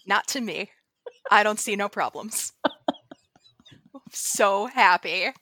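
A woman co-hosting a podcast in casual chat, bubbly and excitable and quick to laugh. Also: mid-range voice. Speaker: podcast co-host.

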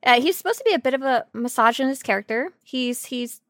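A woman's voice: high-pitched, lively intonation, fairly quick, fairly bright tone, no room sound, studio quality. A woman co-hosting a podcast in casual, playful banter with a silly, joking manner. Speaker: podcast co-host.

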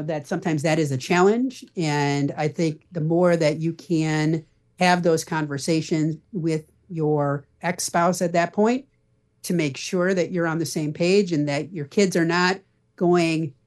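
A man's voice: fairly high pitch, darkish tone. A middle-aged man answering as a podcast guest in an earnest, explanatory, conversational way. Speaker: podcast guest, parenting blogger.

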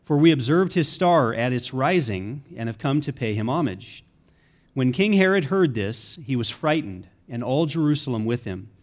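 A man's voice: mid-range voice, lively intonation, moderate pace. A man reading a Bible narrative aloud from a written text. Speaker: scripture reader.

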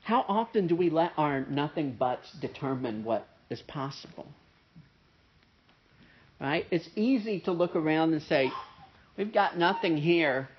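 A male pastor with a nasal, gravelly voice, pitched a bit higher than most men. He preaches casually, tossing in "right?" and "uh" as he goes. The recording is dull and muffled, as if from a church service. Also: slight background noise. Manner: conversational preaching with frequent "right?" and "uh" fillers. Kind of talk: sermon. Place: church service with a pianist present.

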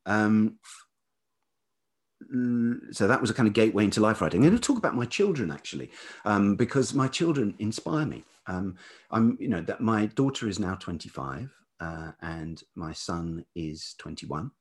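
A man's voice: on the low side, sing-song, normal speed. A middle-aged British man talking reflectively as an interview guest, hesitant and searching for his words. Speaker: guest, writer and teacher.

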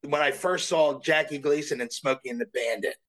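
A man's voice: on the high side, brisk, fairly bright tone. A man from South Jersey, a voice actor guest, chatting humbly and rambling with restarts in an interview. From South Jersey, USA. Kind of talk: casual interview chat.

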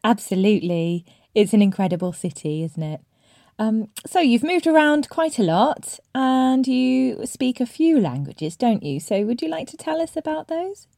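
Female podcast host, soft mellow tone, dry close sound, faint music. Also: on the high side, animated, moderate pace, gravelly, no room sound. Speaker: podcast host, English teacher. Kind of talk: podcast interview with scripted intro.

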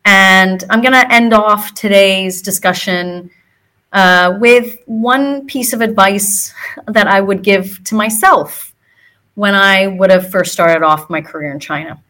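A woman's voice: medium pitch, slow. A woman in her forties from Hong Kong with a mixed American and British accent, hosting a podcast and telling her own story casually with frequent ums. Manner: relaxed, fillers like um between phrases. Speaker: podcast host. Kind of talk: scripted podcast intro then casual storytelling.

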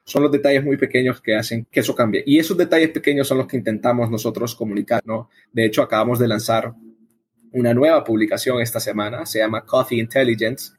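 Casting: male interview guest, husky mid-range voice, explanatory and conversational delivery. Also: mid-range voice, fairly even intonation, fast talker. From El Salvador. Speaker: interview guest, coffee event organizer.

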